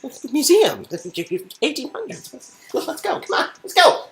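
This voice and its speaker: A man's voice: very high voice, huge pitch swings, a little slow, creaky, voice pushed up, exaggerated voice. A man voicing an evasive, flustered young man in a romance game, stammering and over-eager. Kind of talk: hammy visual-novel dub.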